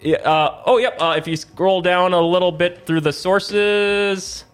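A man hosting a casual talk show, excitedly rambling with stammers and slipping into hammy script narration. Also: sits high, a little slow, clean tone. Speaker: show host. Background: suspenseful crime music playing in the background.

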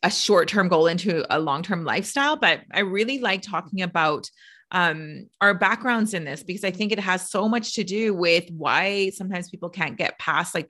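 A woman's voice: lowish voice, pushed and bright. A woman hosting a podcast, chatting casually with a guest, laughing often and filling her talk with "like". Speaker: podcast host, trainer.

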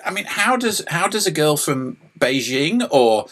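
A man's voice: a little high, big pitch swings, measured pace, a bit bright. A man hosting a podcast interview, asking friendly, encouraging questions with some hesitation. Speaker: podcast host. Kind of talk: casual interview chat.

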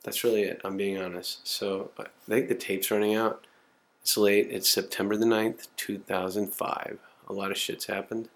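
A man's voice: fairly low pitch, little pitch movement, normal speed, a bit bright. Rambling, profane man in his forties. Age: 40s. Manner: rambling, profane, self-mocking. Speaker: video diarist. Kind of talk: casual self-recorded monologue. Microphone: home camcorder.

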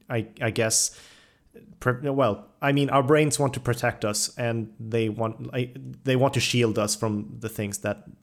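A man hosting a casual interview, speaking in a tentative, attentive way and often hedging. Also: medium pitch. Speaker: podcast host, interviewer.

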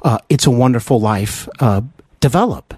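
A man's voice: mid-range voice, expressive, normal speed, a little mellow, husky, no room sound, clean studio sound. An American man hosting a conversational interview, effusive and somewhat hesitant in his phrasing. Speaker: interview host.

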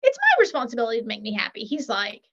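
A woman, a podcast guest and author, chatting in an animated, upbeat way and often checking in with "right?". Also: fairly high pitch, fast talker. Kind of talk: casual interview chat. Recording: lo-fi muffled sound.

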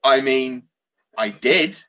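A man's voice: mid-range voice, lively intonation, slow, sharp tone, slight background noise. A male actor, a guest on the show, telling a rambling, self-mocking comic anecdote.

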